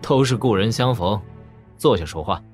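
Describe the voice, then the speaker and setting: An actor playing a composed young prince, laid-back and quietly commanding, in a Chinese wuxia audio drama. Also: male voice, lowish voice, expressive, normal speed, slightly rough, soft background music. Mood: casual, calm.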